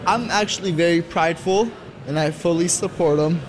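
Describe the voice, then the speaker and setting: A man's voice: fairly high pitch, moderate pace, crowd noise, low-quality recording. A man speaking in a firm, confident way as a fan. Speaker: soccer fan.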